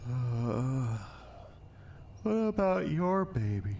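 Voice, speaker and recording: An actor playing an unstable, bitter drunk ex-husband who rambles crudely and menacingly in an audio drama. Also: male voice, medium pitch, big pitch swings, very slow, a little mellow, full character voice. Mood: sly, needling.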